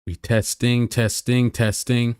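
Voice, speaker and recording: A man's voice: mid-range voice, little pitch movement, slow, darkish tone, clean tone, no room sound, studio quality. A man giving casual live reactions as a livestream host.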